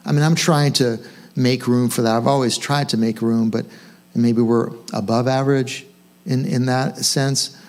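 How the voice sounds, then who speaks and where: A man's voice: mid-range voice, steady tone, unhurried, a little mellow. A man speaking as a senior pastor, giving an informal sermon in a conversational way, questioning himself and stressing key points. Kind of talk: informal sermon to own congregation.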